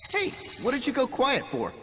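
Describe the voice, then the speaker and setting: An actor playing an excitable, jittery treasure-looting sidekick in a video game cutscene. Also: male voice, very high-pitched, moderate pace, full character voice. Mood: startled. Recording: cavernous reverb, music behind.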